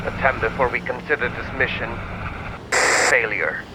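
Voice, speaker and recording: A man's voice: mid-range voice, normal speed, very noisy. A man playing a stern, cold military handler on a radio earpiece in a sci-fi audio drama, clipped and commanding. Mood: stern warning.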